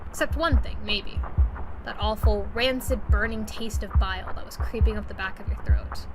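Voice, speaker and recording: Lady playing streetwise friend telling a dramatic story straight to the listener, intimate audio drama, music loud behind. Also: mid-range voice, normal speed, lightly acted, poor audio. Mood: tense, grave. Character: concerned streetwise friend narrating a tense urban memory. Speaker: narrator. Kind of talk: scripted second-person audio drama narration.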